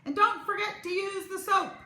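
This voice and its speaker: A woman's voice: extremely high pitch, steady tone, normal speed, sharp tone, full character voice. Woman acting cheerful, fussy storybook mom, echoey room. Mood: scolding, exclaiming. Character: cheerful, fussy mother of a young boy. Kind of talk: children's storybook read-aloud. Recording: roomy echo, clear background noise.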